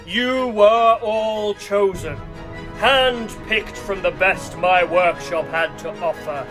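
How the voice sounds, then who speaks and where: Evil santa tyrant in a radio play, high pitched for a man, slow pompous speeches, bit of music behind. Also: fairly bright tone. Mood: menacing, grandiose. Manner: pompous, theatrical oratory.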